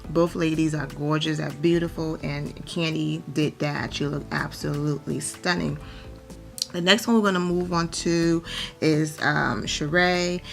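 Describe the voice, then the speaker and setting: Hoarse deep-voiced woman doing a gossip vlog, rambly, lots of ums, low and crackly. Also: steady tone, a little slow, soft background music. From Atlanta, Georgia. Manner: chatty, rambling with many fillers. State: hoarse, nearly losing her voice from allergies. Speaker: celebrity gossip vlogger. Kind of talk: casual gossip commentary.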